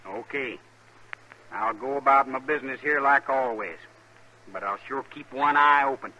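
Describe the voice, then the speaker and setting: An American actor with a rural western accent playing a plucky, stubborn rancher in a 1950s radio western, folksy and earnest. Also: male voice, mid-range voice, moderate pace, lo-fi sound, natural voice. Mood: resolute.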